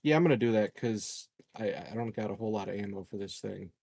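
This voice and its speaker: A man's voice: fairly low pitch, quick pace, vocal fry, noisy background. A man chatting casually as a player in a tabletop game. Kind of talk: casual chat.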